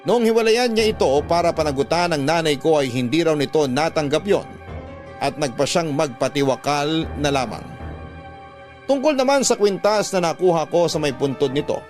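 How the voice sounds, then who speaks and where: A man's voice: on the high side, animated, moderate pace, faint music behind, lightly acted. An actor playing a letter sender, narrating his past earnestly in first person for a radio drama. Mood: reflective.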